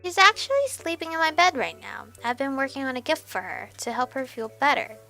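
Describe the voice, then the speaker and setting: A young woman reading a visual novel aloud as a let's player, expressive and animated, with music behind her. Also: sits high, brisk.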